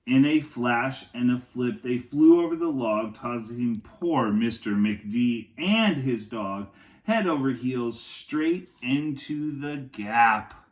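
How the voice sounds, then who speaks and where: A man reading a picture book aloud to young children like a teacher, in an expressive, dramatic way with chatty asides. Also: medium pitch, slow pace, roomy sound.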